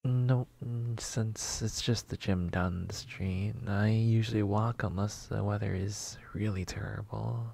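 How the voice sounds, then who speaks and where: Guy doing a flustered sweet neighbor boy asmr roleplay, low husky breathy voice, apologetic and halting. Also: fairly even intonation, heavily acted. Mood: sheepish. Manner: hesitant, soft-spoken, apologetic, breathy sighs and whispers. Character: shy, polite, flustered male neighbor stranded by rain. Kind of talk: intimate roleplay ASMR acting.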